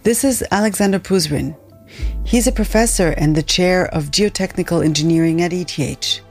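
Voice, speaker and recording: Calm woman narrating a podcast. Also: on the low side, moderate pace, faint music behind. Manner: calm, even storytelling. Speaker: podcast host. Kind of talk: scripted narration.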